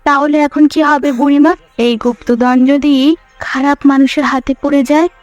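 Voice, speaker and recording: A woman's voice: sits high, steady tone, moderate pace, a little mellow, dry recording, dull audio, faint music behind, natural voice. A woman voicing an earnest, anxious young village wife in a cartoon fairy tale, questioning and worried.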